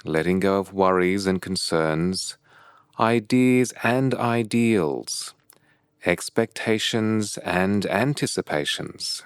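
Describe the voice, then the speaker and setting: Meditation guide, male, lowish pitch, unhurried and measured delivery with deliberate pauses, dry recording. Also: expressive, clear, resonant tone, no room sound. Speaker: meditation teacher.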